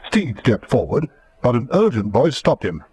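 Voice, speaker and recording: A man's voice: mid-range voice, big pitch swings, normal speed, warm tone, slightly rough. A man reading dramatic scripted narration as the narrator of a radio serial.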